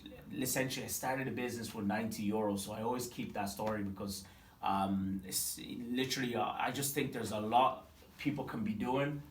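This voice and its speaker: A man in his twenties from Tanzania, telling his story in a casual interview, animated and full of fillers and restarts. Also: on the low side, fairly quick, slight background noise. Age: 20s. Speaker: business owner interviewed. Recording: echoey room, mic at a distance, faint ambience.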